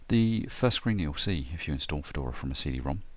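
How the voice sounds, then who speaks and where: Very deep-voiced man explaining steps. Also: a little fast, no room sound. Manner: steady step-by-step explaining with frequent "uh" and "um". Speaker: software tutorial presenter. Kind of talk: screen-recorded tutorial narration.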